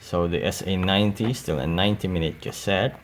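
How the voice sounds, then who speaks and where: A man giving a casual, unscripted show-and-tell in a hesitant, halting way. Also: deep voice. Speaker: collector showing cassette tapes. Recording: audible steady background noise.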